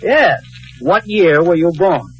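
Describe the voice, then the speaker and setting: An actor playing a prissy, officious, easily exasperated records clerk with fussy, precise politeness in a 1950s radio sitcom. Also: male voice, high-pitched, lively intonation, slow pace, a bit bright, exaggerated voice, rough recording. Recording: radio studio with faint audience sound.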